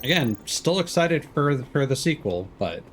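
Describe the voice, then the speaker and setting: A male streamer talks casually through a game, musing and a little critical. His pitch is average but moves around in a lively way, and faint game music plays behind him. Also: a little slow. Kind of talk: casual live game commentary. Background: video game music.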